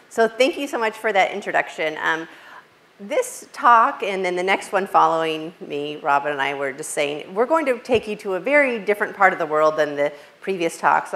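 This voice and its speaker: A woman with a fairly low voice gives an academic conference talk through a hall microphone. She speaks loudly, with many "um"s and restarts. The lecture hall around her adds a little echo. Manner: loud voice, frequent fillers and restarts. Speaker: invited speaker.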